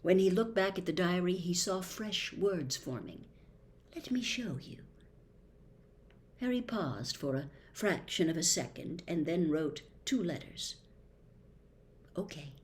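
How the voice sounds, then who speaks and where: Expressive woman reading a storybook. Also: on the low side, moderate pace, few pauses, a little hiss or hum. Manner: expressive, dramatic. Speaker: reader.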